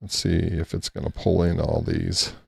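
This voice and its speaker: Male host, low gravelly voice, unhurried and halting, casual live-stream walkthrough, dry close mic. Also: very animated, no echo. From Texas. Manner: halting, thinks aloud with many restarts and fillers.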